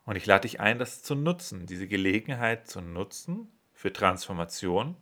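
A man leading a guided meditation, giving slow, soothing instructions with long pauses. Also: on the low side, sing-song. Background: soft instrumental music.

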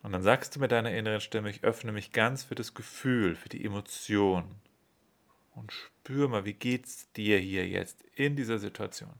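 A man leading a guided body-awareness meditation in a slow, calm, soothing way. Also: fairly low pitch, gravelly.

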